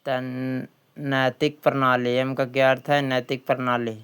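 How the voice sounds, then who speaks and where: Male exam coach, Bihari accent, exceptionally clean smooth voice, fairly even pitch, didactic question-and-answer delivery with repetition. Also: unhurried. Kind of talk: quiz lesson, reading questions aloud and answering them.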